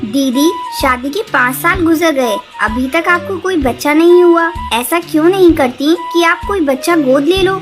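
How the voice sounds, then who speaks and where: Woman voicing an outspoken high-pitched female pigeon. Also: moderate pace, hardly any pauses, music in the background, natural voice. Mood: caring, agreeable. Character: outspoken female pigeon, determined younger sister. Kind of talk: Hindi children's cartoon story acting.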